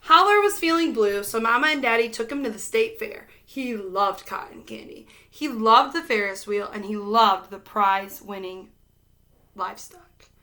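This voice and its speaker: High, bright woman reading a storybook. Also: lively intonation, moderate pace. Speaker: storybook reader. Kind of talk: expressive children's read-aloud narration.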